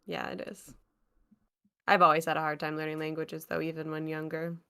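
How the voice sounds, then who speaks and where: A woman co-hosting a movie watch party stream, making brief, soft-spoken remarks in casual chat. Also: sits low, completely flat delivery, moderate pace, smooth.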